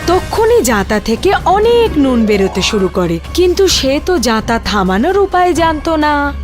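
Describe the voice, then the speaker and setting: A female storyteller narrates a cartoon fairy tale from a script. Her voice is fairly high and animated in its intonation. Gentle music plays faintly behind her. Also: normal speed.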